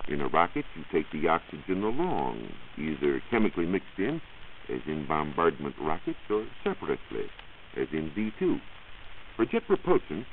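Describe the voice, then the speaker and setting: An American man giving a measured, explanatory science talk on 1940s radio as a reporter. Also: lowish voice, narrow, tinny audio. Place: radio studio. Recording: hissy band-limited 1940s radio recording.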